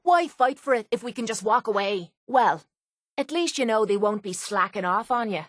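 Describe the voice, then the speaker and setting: A woman's voice: fairly high pitch, expressive, normal speed, no echo, studio quality. An actress playing a brash, sarcastic, hard-drinking young woman brawler in a video game, with an Irish accent and a blunt, mocking way of talking. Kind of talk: video game companion voice lines.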